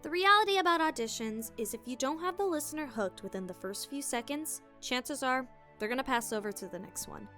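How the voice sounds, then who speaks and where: A woman's voice: high voice, animated, a little fast, few pauses, pushed and bright, faint music behind. A woman hosting a scripted, playful tutorial as a voice actor, with theatrical emphasis. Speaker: voice actor and video host.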